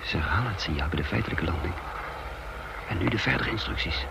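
Commando leader, male, deep, creaky, hushed, clipped orders, tense, suspenseful radio play narration. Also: expressive, quick pace, background noise. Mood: tense, commanding.

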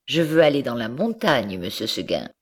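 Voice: mid-range voice, very animated, normal speed, husky. A reader voicing a young female goat who pleads wistfully to be set free in a storybook tale. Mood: pleading. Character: young female goat, restless, yearning for freedom.

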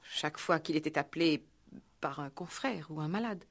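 An actress playing a dignified, grieving widow, composed and formally polite, in a sixties radio play. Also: female voice, low-pitched, normal speed. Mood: reserved. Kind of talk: 1960s French-language radio play acting.

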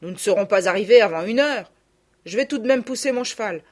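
A woman's voice: mid-range voice, lively intonation, fairly quick, pitched above the performer's own voice, close to an everyday voice. A woman voicing a good-natured country butcher with homely, folksy speech in an audiobook. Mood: kindly. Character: good-natured country butcher, grown man, gruff and kind. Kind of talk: audiobook character voice.

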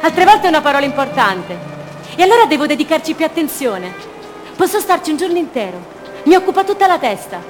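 An actress playing a fragile, dreamy young woman in an introspective radio theatre monologue, in short deliberate phrases. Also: high voice, very animated, faint music behind. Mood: dreamy, absorbed.

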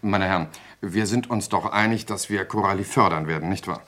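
Radio play: sardonic press intriguer, very low voice, commanding delivery. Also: male voice, brisk, fairly bright tone. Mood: brisk, scheming. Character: brisk, cynical, scheming male newspaperman. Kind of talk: German radio play acting.

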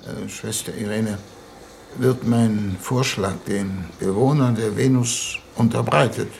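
An actor playing a solemn, pompous statesman on a diplomatic mission in a 1950s radio play, speaking formally and haltingly. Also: lowish voice, vocal fry, a little mellow. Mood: stern, urgent. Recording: noisy 1950s radio recording.

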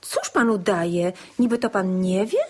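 An actress playing a naive, giggly young provincial bride-to-be in a period comedy radio play, artless and chirpy in manner. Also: female voice, medium pitch, animated, a little slow, breathy edge. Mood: artless, matter-of-fact.

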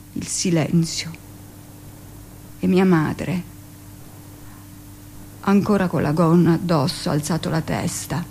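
A middle-aged midwife doing an emotional stage monologue, intimate, expressive, bit of background noise. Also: female voice, fairly low pitch. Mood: hushed, moved. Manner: expressive, intimate storytelling with dramatic pauses. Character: 45-year-old village-born midwife, recounting childhood, emotional, earnest. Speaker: actress performing a monologue. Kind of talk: theatrical first-person dramatic monologue.

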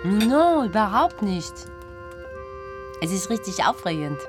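This is an eager, well-mannered young granddaughter in a radio play. Her intonation is lively, with music behind it. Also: medium pitch, takes it slowly. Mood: polite, eager. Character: polite, eager young French-speaking granddaughter. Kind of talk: German radio drama acting.

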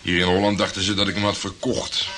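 Actor playing a low-voiced, swaggering tough-guy detective. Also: flat delivery, fast talker, music in the background, close to an everyday voice. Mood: boastful. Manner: swaggering, colloquial, swearing. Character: tough, boastful male private detective, gruff and swaggering. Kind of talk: Dutch radio play acting.

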